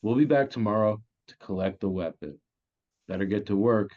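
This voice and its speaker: A man's voice: fairly low pitch, moderate pace, natural voice. A man playing a cocky, crude crime boss with flippant, theatrical menace in a comedy table read. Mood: smug menace. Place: virtual table read over video call. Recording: dull, lo-fi online call.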